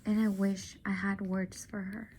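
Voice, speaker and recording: A woman teacher reading a novel aloud to her students, often softly whispering and stopping for emotional asides. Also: medium pitch, little pitch movement, a little slow, background noise.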